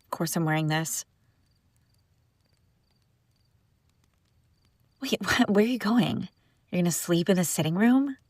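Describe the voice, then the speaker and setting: A woman playing a sharp-tongued young princess bride who is secretly lovesick, in an intimate audio roleplay, teasing and indignant with sighs and broken-off phrases. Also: lowish voice, no echo, studio quality, lightly acted. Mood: teasing, dry. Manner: teasing, indignant, sighing, breaking off mid-sentence. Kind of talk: intimate scripted audio roleplay addressed to listener.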